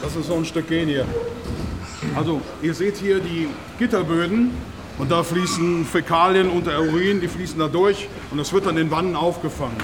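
An actor playing an aggrieved, defensive pig farm owner in a radio drama, halting and repeating himself to justify his case. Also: fairly high pitch, steady tone, natural voice. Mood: eager, explaining. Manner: halting, repetitive, self-justifying. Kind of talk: naturalistic radio drama in reportage form. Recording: loud ambient noise of an animal barn.

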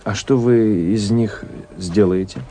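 Reserved literary narrator, fairly deep, polite, measured, thoughtful, radio drama, faintly noisy recording. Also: male voice, mellow. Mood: polite curiosity. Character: reserved, courteous gentleman traveller telling his story.

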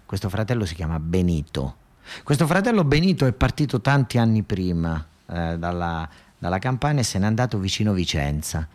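A man's voice: sits low, sing-song, fairly quick, a little mellow. A man with a southern Italian accent performing a colloquial, satirical storytelling monologue, earthy and sardonic, with emphatic repetition and asides to his listeners.

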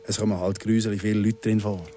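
An actor playing a vengeful, patient ex-convict in his thirties in a radio drama, speaking Swiss German in a deliberate, sardonic way. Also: lowish voice, little pitch movement, warm tone, a little hiss or hum, close to an everyday voice. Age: 30s.

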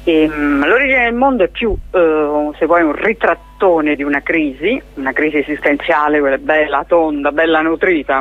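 A woman, speaking as a theatre-maker being interviewed on radio in a wry, self-ironic, conversational way, on a slightly dull recording. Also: deep voice. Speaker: playwright and theatre director, interview guest.

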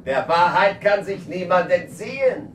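An actor playing a generous, enthusiastic Russian gentleman in a radio play, recorded echoey and distant. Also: high voice, animated, measured pace, a little hiss or hum. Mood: hearty, grand. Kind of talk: German radio play acting. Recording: echoey, distant, loud music over it.

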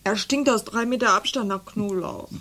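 A woman's voice: mid-range voice, normal speed, fairly bright tone, close to an everyday voice. A woman acting an exasperated young student and amateur singer in a radio play, with a dramatic, sulky delivery.

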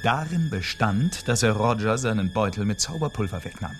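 A man's voice: lowish voice, lively intonation, moderate pace, natural voice. A man narrating a fairy tale for a radio drama in a scripted storytelling style. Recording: music behind the voice.

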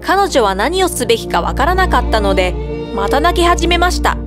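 A woman narrating a bedtime fairy tale in an expressive storytelling voice. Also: high-pitched, a little fast. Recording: background music.

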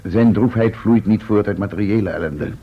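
Husky, low, wry radio-play assistant. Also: male voice, normal speed. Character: wry, bookish male expedition assistant who writes the chronicle. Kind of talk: old radio drama acting. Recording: muffled old radio drama recording.

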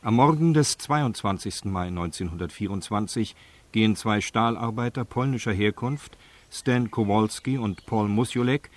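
Male narrator for crime radio drama: fairly low, sober, factual scripted delivery. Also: normal speed. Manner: sober, reportorial.

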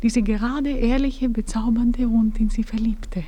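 A woman playing a composed married woman speaking to an old friend in measured tones in a radio play. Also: on the high side, steady tone, dark tone. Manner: measured, sometimes whispered confidences.

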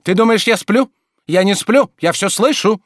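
A man voicing a drowsy, peevish gentleman master in a literary story, pompous and grumbling, imperious yet whining to be left asleep. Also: sits high, normal speed, no echo, studio quality, pitched above the performer's own voice. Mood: indignant.

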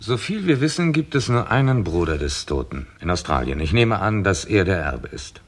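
A man playing a businesslike, skeptical police inspector in a 1960s German radio crime drama, husky, courteous but commanding. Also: deep voice, big pitch swings, normal speed, full character voice. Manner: probing, hesitant murmurs, courteous but commanding.

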